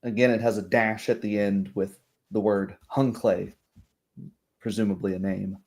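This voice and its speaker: A man's voice: on the low side, steady tone, moderate pace, darkish tone. A man working as game master, describing scenes in improvised tabletop roleplay narration.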